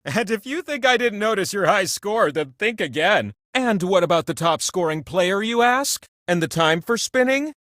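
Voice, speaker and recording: A man's voice: high voice, expressive, normal speed, hardly any pauses, a bit bright, no echo, studio quality. A man voicing a whimsical, grandiose godlike trivia wheel who hosts a video game with gushing, mock-grand playfulness. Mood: doting, delighted. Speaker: game show host. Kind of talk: theatrical scripted game host lines.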